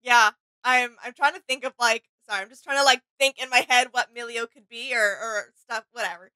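High, bright young woman chatting. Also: a little fast, dry recording, poor audio. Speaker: reaction video co-host. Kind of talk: casual chatty reaction commentary. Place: small indoor home studio room.

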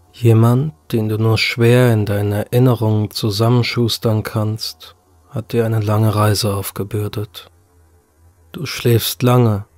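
A man's voice: fairly low pitch, no room sound. A man reading a scripted horror story as an audiobook narrator. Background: music.

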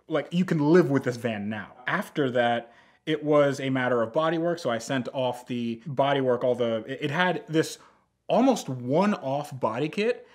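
A young man telling a personal car story in a casual, wry, understated way. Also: mid-range voice. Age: young adult. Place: indoor decorated studio set, seated.

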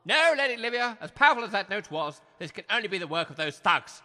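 A man's voice: high-pitched, big pitch swings, fast talker, bright, forceful tone, exaggerated voice. A man playing a pompous, scheming village major with a clipped, theatrical delivery in a comedy audio drama. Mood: indignant alarm.

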